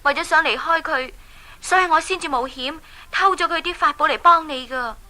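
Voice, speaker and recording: An actress with a Hong Kong Cantonese accent playing a plucky, caring young princess in a children's radio drama. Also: female voice, high voice, normal speed. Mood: sincere. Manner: expressive, urging, exclamatory.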